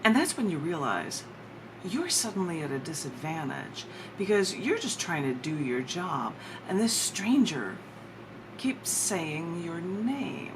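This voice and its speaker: A woman poet giving a live reading of her work, chatting in between and pausing deliberately for drama. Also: fairly low pitch, big pitch swings. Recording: clearly noisy recording.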